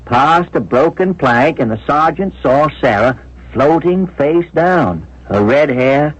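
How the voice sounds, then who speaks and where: An actor playing a grave, considerate police inspector in a 1970s radio drama, speaking in a measured, deliberate, official way. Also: male voice, on the high side, lively intonation, breathy edge, no echo, heavily acted. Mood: solemn. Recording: muffled vintage radio broadcast.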